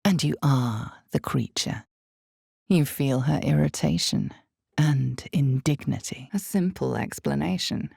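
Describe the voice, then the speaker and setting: A woman voicing a grave, ominous fantasy game narrator, with a gravelly voice and a measured, dramatic delivery in scripted second person. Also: a bass voice, darkish tone, dry recording, studio quality, exaggerated voice. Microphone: close studio microphone, dry.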